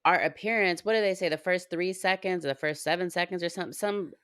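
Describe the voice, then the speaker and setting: A woman's voice: on the low side, fast, a bit bright, clear, resonant tone, dry recording, clean studio sound. A woman hosting a podcast in casual chat, easygoing and reflective, often laughing. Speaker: podcast host.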